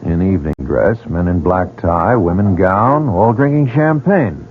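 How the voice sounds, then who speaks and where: A curious but guarded newcomer who questions everything he sees. His lowish voice moves lively, and it comes through narrow and phone-like, like a vintage broadcast. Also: measured pace. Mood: wary. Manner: inquisitive, wary. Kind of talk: 1940s radio drama acting. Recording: phone-like old radio broadcast.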